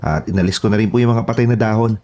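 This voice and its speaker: Guy acting as a humble houseboy in a horror audio story, soft mellow voice, very respectful and eager. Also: on the low side, very fast, lightly acted. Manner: deferential, eager to report. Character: polite, obedient male houseboy and gardener.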